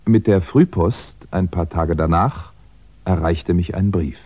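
An actor playing a wry, chatty, put-upon crime writer in a 1960s German radio play. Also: male voice, low-pitched, measured pace, breathy edge, muffled recording. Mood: wry, confiding.

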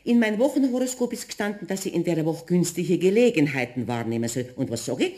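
A woman's voice: on the low side, expressive, fast, dark tone, lightly acted. A woman playing a superstitious, bossy but kindly housewife in a German radio play, chatty and emphatic with a Bavarian accent. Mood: insistent, gossipy.

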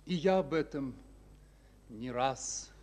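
An actor playing a dutiful, respectful army lieutenant, deferential and soft-spoken, in a mid-century radio drama. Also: male voice, mid-range voice, takes it slowly, lightly acted. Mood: thoughtful. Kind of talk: mid-century Soviet radio stage acting.

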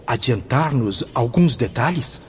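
An actor with a Brazilian accent playing an inquisitive, pressing spirit who seeks answers in a radio novel. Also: male voice, mid-range voice, lively intonation, slow pace, a little mellow, breathy edge, full character voice. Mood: insistent. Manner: pressing, questioning. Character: insistent, inquisitive male spirit seeking answers. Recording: dull lo-fi audio, faint noise.

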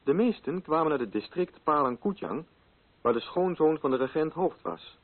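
An actor playing a sober literary narrator, telling a story in scripted radio drama style. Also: male voice, a little high, expressive, moderate pace. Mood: sober, solemn. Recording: muffled old radio recording.

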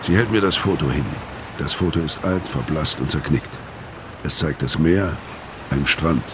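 An actor playing a weary, middle-aged ex-convict who narrates and speaks in a radio crime drama, laconic and guarded, with a gravelly voice. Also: male voice, very deep voice, moderate pace, background noise. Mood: laconic, brooding. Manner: laconic, understated. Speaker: narrator and lead character. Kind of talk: German radio crime drama, first-person narration and dialogue.

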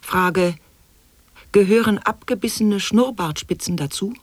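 A woman's voice: mid-range voice, measured pace, no room sound, close to an everyday voice. An actress playing a formal, hair-splitting woman reciting convoluted, absurd wordplay in an avant-garde radio play. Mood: formal, inquiring.

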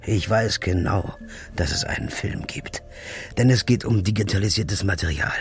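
A man voices a grim, desperate hero in a radio drama, his voice sitting low and his questions blunt and accusing. Faint music runs behind him. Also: moderate pace, lightly acted. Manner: blunt, accusing, tense questions, sometimes whispered. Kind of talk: radio play acting.

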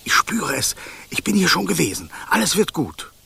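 Talking snake, ex-king: courtly radio-play delivery, creaky, very animated pitch. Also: full character voice. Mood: urging, hopeful. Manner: theatrical, deliberate, courtly. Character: talking golden snake, former king, gallant and plaintive. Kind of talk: German radio play acting.

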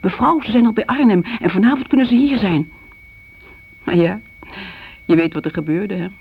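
A woman's voice: medium pitch, lively intonation, normal speed, vocal fry, slightly rough, heavily acted. An actress playing a middle-aged mother in a radio play, reminiscing in a chatty, confiding way, fond yet reproachful. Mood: nostalgic, earnest. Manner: chatty, confiding, seeking agreement. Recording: muffled old radio recording, faint hiss.

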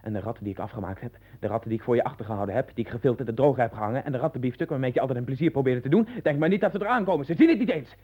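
An actor playing a tormented, paranoid man in a 1960s Dutch radio drama, husky, speaking in obsessive, repeated short phrases. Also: medium pitch, animated, very fast, hardly any pauses, dull audio, natural voice. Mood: feverish alarm. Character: Len, tormented, paranoid man sinking into dwarf-haunted delusions.

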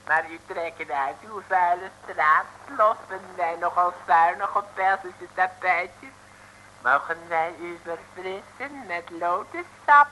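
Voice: medium pitch, takes it slowly, pushed and bright, a little hiss or hum, rough recording. A performer in an old radio play voicing a falsely humble, fawning Chinese mandarin who speaks in broken pidgin phrases. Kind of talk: 1960s radio play acting.

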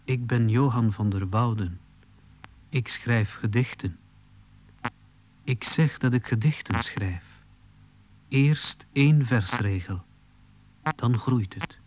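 An actor playing an earnest, defiant poet in a radio play, speaking lines in a deliberate, declamatory way. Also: male voice, mid-range voice, lightly acted. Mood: solemn, insistent. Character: earnest defiant male poet, slow and brooding. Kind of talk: poetic radio play acting. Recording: dull 1980s radio drama recording.

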